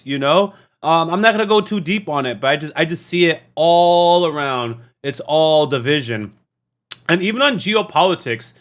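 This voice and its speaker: A man's voice: high voice, pushed and bright. A man hosting a reaction video, talking in a preachy, earnest way, often restarting and stammering. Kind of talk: casual rambling monologue.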